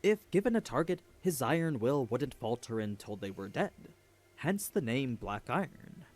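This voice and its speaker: A man's voice: mid-range voice, sing-song, moderate pace, darkish tone. A man giving a scripted story recap as a video narrator.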